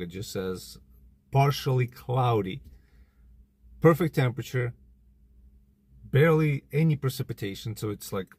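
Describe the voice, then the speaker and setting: A man's voice: mid-range voice, darkish tone, breathy edge, no echo. A man with a Ukrainian accent, losing his voice, chatting casually in a vlog from outdoors in a parking lot, thinking aloud with lots of fillers. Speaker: drone hobbyist vlogger.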